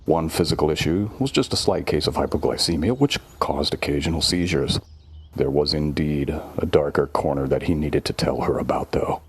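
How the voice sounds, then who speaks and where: Low, husky male horror narrator. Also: normal speed. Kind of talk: scripted horror story narration.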